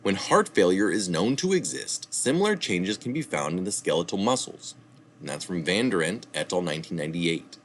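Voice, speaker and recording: Male reader, low voice with a lively pitch, faint background hiss; steady article reading with asides. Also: a little fast. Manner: reads steadily, adding asides and correcting slips. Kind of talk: reading an article aloud with spoken citations.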